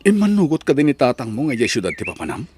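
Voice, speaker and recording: A man's voice: mid-range voice, big pitch swings, fast talker, a little mellow, a little hiss or hum, close to an everyday voice. A man with a Filipino accent playing a friendly, helpful young fellow student in an audio drama. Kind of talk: Ilocano audio drama acting.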